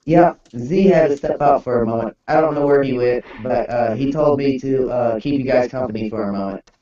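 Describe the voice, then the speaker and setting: A man puts on a friendly, one-eyed cartoonish emoji creature. The voice is mellow and slow, wanting only to be friends, in a muffled, roomy recording. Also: mid-range voice, distant mic, close to an everyday voice. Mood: casual, friendly. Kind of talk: improvised comic banter.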